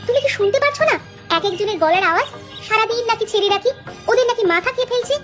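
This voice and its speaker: A woman voicing an exasperated, complaining village housewife and mother in an animated children's story. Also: very high voice, quick pace, loud music behind the voice.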